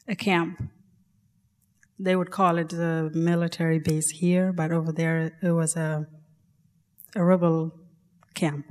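South Sudanese woman, low-pitched, slow and halting, live storyteller in a reverberant hall. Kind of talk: live personal storytelling on stage. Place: large performing arts hall.

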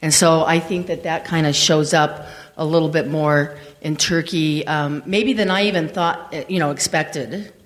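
A woman's voice: sits low, steady tone, measured pace. A woman sharing travel impressions in a casual group discussion, rambling and hesitant with many fillers. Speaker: trip panelist. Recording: reverberant hall.

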